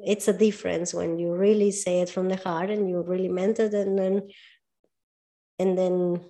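A woman's voice: lowish voice, little pitch movement, moderate pace, warm tone. Thoughtful woman with a Spanish accent. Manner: searching for words, thoughtful, earnest. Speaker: movement coach as guest. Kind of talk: casual interview talk.

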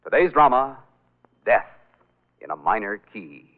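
A man's voice: on the low side, sing-song, unhurried, over loud music. A man introducing a thriller in formal, emphatic 1940s radio announcer style. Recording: tinny vintage radio broadcast.

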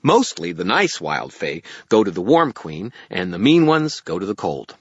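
A man voicing an excitable, impatient tiny male fairy for an audiobook, shrill and exclamatory. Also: moderate pace, husky, no echo, muffled recording, full character voice. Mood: chirpy, earnest. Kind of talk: audiobook character acting.

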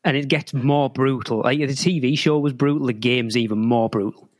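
A man's voice: medium pitch, steady tone. A man from Britain, an eager and enthusiastic guest on a podcast. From United Kingdom. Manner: enthusiastic, eager, quick to laugh. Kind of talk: casual podcast chat.

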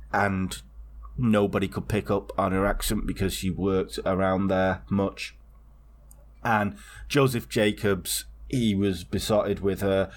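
A man co-hosting a casual podcast chat, telling a story in a rambling way with lots of swearing and restarts. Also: deep voice. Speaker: podcast co-host.

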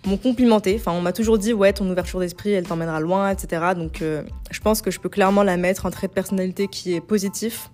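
A woman's voice: mid-range voice, darkish tone. A young woman from Algeria hosting a casual self-help podcast, talking informally in youthful slang sprinkled with English loanwords. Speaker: podcast host and image consultant.

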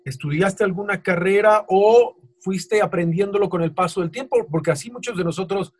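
High-voiced Mexican male host. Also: normal speed, husky. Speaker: interview host. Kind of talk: conversational interviewing.

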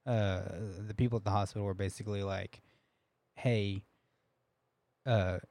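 A man's voice: on the low side, steady tone, a little slow, a little mellow, no room sound. A young man co-hosting a podcast, telling a story in a rambling, laughing way with lots of restarts. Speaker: podcast co-host. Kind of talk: casual storytelling chat.

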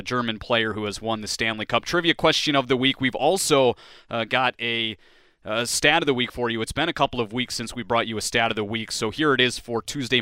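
A man hosting a sports podcast interview, chatty and upbeat, talking quickly with frequent restarts into a close microphone. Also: mid-range voice, pushed and bright, clear, resonant tone, dry recording. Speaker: podcast host and play-by-play broadcaster.

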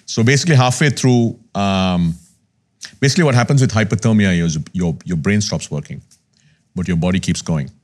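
Deep-voiced Sri Lankan-born man in his fifties. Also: a little fast. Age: 50s. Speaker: podcast guest, investor. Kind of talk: candid conversational storytelling.

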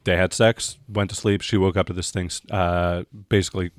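A man's voice: deep voice, fairly even intonation, fairly quick, no echo, studio quality. A man narrating an improvised tabletop roleplaying game as the game master, in a conversational way.

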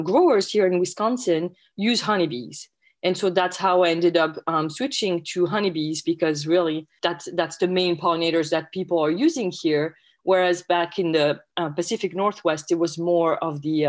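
A woman from France with a French accent, a guest researcher answering interview questions with frequent pauses and fillers. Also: lowish voice. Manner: friendly, many hesitations and fillers.

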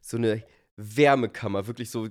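A man's voice: lowish voice, moderate pace. A young man co-hosting a podcast in casual chat, laid-back with dry short replies. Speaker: podcast co-host.

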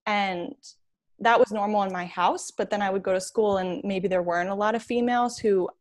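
A woman's voice: mid-range voice, fairly even intonation, a little fast. A woman in her twenties from New York, answering interview questions in a chatty, enthusiastic way as a podcast guest. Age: 20s.

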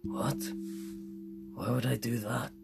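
A man voicing a gruff but kindly monster under the bed in a spooky story, blunt and wry, with a deep, crackly, whispery rasp. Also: flat delivery, slow pace. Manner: blunt, matter-of-fact.

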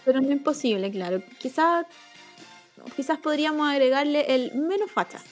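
Female co-host, Chilean accent, high pitch, tentative conversational delivery over music. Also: expressive, moderate pace. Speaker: co-host of a talk show. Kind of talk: casual chat.

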